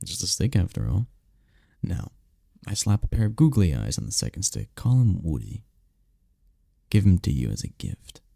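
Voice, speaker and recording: Man voicing a deep, gravelly, tender incubus boyfriend. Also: very animated, moderate pace, pauses often, dry recording, clean studio sound, exaggerated voice. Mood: gentle, consoling. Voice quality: gravelly, dark low voice. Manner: soft, soothing, teasing, lapses into whispers. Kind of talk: intimate ASMR roleplay, comforting. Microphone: close microphone, dry.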